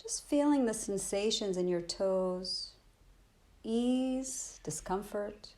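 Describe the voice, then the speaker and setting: A woman teaching a guided body scan meditation in a gentle, soothing, unhurried manner with long pauses. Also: medium pitch.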